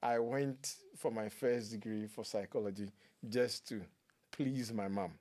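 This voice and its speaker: A man, telling his life story as a guest in a relaxed, laughing way. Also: fairly low pitch, measured pace. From Ghana. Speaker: guest, clinician. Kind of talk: storytelling interview talk.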